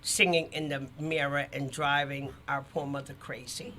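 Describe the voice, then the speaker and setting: A woman's voice: rumbling low, level tone, normal speed, sharp tone, slight background noise. An older woman, a singer, reminiscing casually as a show guest with people chattering around her. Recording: busy room with crowd chatter.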